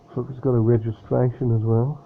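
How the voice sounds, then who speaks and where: Man, casual home vlogger, soft and hesitant, slightly nasal with a cold; thin phone-like audio, faint fan hum. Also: medium pitch, little pitch movement, a little fast. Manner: speaking softly, hesitant with many fillers. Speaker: model aircraft unboxing vlogger. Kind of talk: casual unboxing chat. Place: room at home with a fan running.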